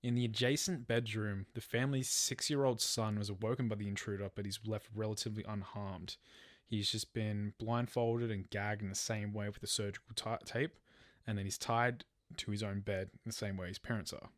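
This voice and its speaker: A man's voice: lowish voice, fairly even intonation, normal speed, no room sound, studio quality. A man telling a true crime story as a podcast host, partly from a script. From Australia.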